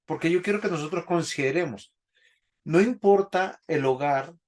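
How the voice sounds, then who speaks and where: A man's voice: a little high, moderate pace, a little mellow. A man teaching a Bible class in a church, preaching and putting questions to the congregation. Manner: didactic, asks the congregation questions.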